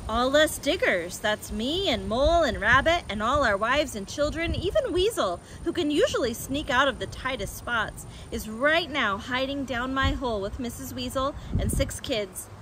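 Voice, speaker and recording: A woman voicing an excitable, desperate male badger father in a children's story, with an agitated, pleading delivery. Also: sits high, normal speed, few pauses, a little hiss or hum, poor audio. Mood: excited, alarmed.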